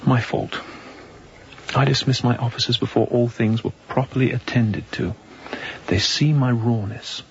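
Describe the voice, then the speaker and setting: An actor playing a young, introspective and kindly sea captain in a radio drama, speaking in measured, formal phrases. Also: male voice, medium pitch, steady tone, dull audio, a little hiss or hum, close to an everyday voice. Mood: brooding, reflective. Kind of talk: radio drama acting with reflective monologue.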